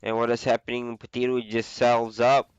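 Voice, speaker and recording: A young man with the flu, casually commentating a video game on his own, with a lot of filler pauses. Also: mid-range voice, little pitch movement, smooth, no room sound, muffled recording. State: sick with flu. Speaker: esports caster.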